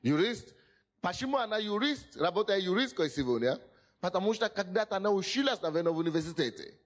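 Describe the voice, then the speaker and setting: A man's voice: high-pitched, lively intonation, fast talker. A male pastor speaking Russian as a second language, preaching emphatically to a congregation and repeatedly asking whether they hear him. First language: not Russian.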